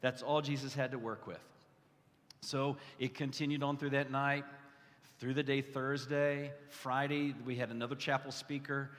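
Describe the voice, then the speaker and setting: A man preaching a testimonial sermon to a gathering, speaking earnestly and conversationally, with halting restarts and repeated phrases. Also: mid-range voice, level tone, a bit bright. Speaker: guest preacher. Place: large indoor hall, addressing a gathering. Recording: echoey hall with long reverb.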